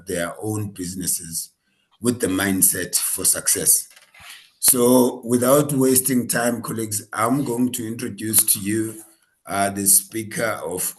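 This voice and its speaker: A man introducing the speaker at a webinar, talking with frequent hesitations and restarts. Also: on the low side. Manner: hesitant, many fillers and restarts. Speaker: association representative introducing the speaker. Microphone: video call.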